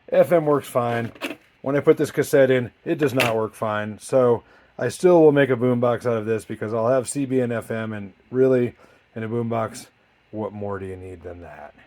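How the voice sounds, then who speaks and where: A man's voice: mid-range voice, darkish tone. A man presenting a casual hands-on demonstration in his garage, talking with dry, wry humor. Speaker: presenter, junkyard parts hobbyist. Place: home garage.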